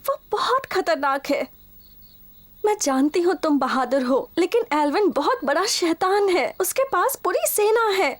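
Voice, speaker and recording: A woman's voice: very high voice, very animated, measured pace, exaggerated voice. A woman playing an earnest, worried young heroine in a cartoon, pleading and caring. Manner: earnest, imploring. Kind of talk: dubbed cartoon dialogue.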